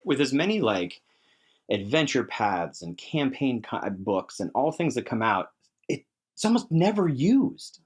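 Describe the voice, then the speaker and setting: A man's voice: medium pitch, sing-song, measured pace, husky. A man talking casually and earnestly in a podcast discussion about games, with emphatic delivery. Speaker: podcast panelist.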